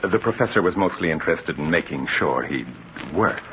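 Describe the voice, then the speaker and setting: A scientist's courteous assistant in an old radio play. His voice is low and lively in pitch, his manner practical and polite. The recording is muffled and noisy. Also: fairly quick. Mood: polite, brisk. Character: polite, matter-of-fact male laboratory assistant. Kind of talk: vintage radio drama acting.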